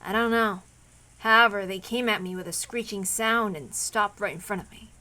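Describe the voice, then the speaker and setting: A woman's voice: mid-range voice, moderate pace, bright, forceful tone, slight background noise. A woman streaming a visual novel read-aloud, moving between narration and informal, rambling asides where she interrupts herself. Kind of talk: casual chat and story read-aloud.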